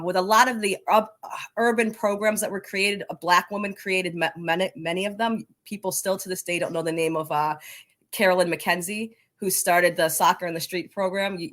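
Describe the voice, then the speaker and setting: A woman's voice: fairly low pitch, a bit bright. A woman answering interview questions as a soccer coaching advocate, in an emphatic, passionate conversational way.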